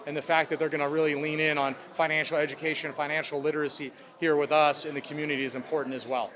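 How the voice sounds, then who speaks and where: A man's voice: a little high, little pitch movement, quick pace, fairly bright tone. A man speaking as a club president, answering reporters' questions in upbeat corporate language. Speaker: sports club president at a press conference.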